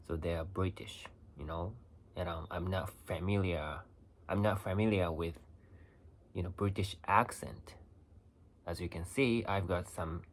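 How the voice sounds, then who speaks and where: A young Japanese man with a Japanese accent, talking casually to camera in halting English with many restarts and fillers. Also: deep voice. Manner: halting, restarts phrases, lots of "you know". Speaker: vlogger. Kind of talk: casual vlog talk.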